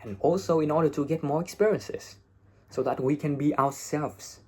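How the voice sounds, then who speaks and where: A young man from Vietnam with a Vietnamese accent and a slightly husky voice, giving blunt, informal English-learning advice and swearing freely, recorded on a phone. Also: a little high, normal speed, warm tone. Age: young adult. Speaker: English teacher and video host. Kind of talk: casual advice talk to camera. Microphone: phone, no separate microphone.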